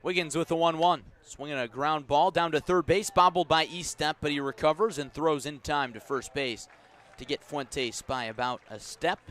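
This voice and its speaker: A man giving live radio baseball commentary as the play-by-play announcer, describing each moment in an unhurried way and lifting his voice on the action. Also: on the high side, a bit bright.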